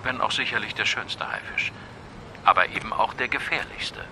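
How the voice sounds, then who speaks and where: An actor playing a mysterious, commanding informant in a German audio drama, cryptic and insistent. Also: male voice, medium pitch, normal speed, pushed and bright, a little hiss or hum. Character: mysterious, commanding male informant giving warnings.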